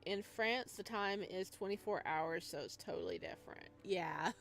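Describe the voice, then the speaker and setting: A woman gaming streamer reading a visual novel aloud, with expressive narration and playful, casual asides. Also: medium pitch, a little slow, soft background music.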